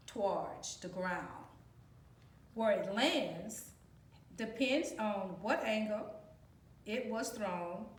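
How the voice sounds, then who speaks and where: A woman reading a children's picture book aloud in a deliberate, explanatory, teacherly way, as if to young students. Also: mid-range voice. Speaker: volunteer storybook reader. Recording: roomy echo, distant microphone.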